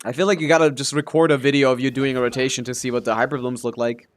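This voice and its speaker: A man's voice: mid-range voice, little pitch movement, fast. A man giving casual, offhand live stream commentary on a game, thinking aloud as he answers chat and swearing casually.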